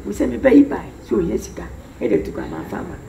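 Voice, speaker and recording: A suspicious old Ghanaian man in a film drama. His voice is gravelly and he grumbles insistently. The room is echoey. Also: high voice, wildly swooping pitch, rapid-fire, dark tone, recorded from a distance, exaggerated voice. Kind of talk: film drama dialogue in Twi. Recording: echoey room with faint noise.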